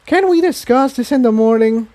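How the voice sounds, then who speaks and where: A man's voice: extremely high pitch, expressive, moderate pace, mellow, pitched above the performer's own voice. A man voicing a sweet, eager young female pony in a storybook reading. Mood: sleepy.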